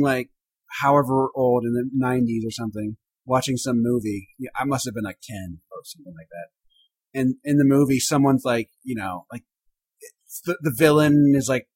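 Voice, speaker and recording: A man co-hosting a podcast in casual chat, animated and jumping between loud and quiet. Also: medium pitch, slightly rough, rough recording. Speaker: podcast co-host.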